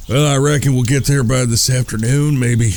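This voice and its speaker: A man's voice: mid-range voice, darkish tone, lightly acted. An American man playing an irritable, bossy, profane cowboy outlaw in a Western audio drama. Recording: clear night-insect noise. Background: crickets.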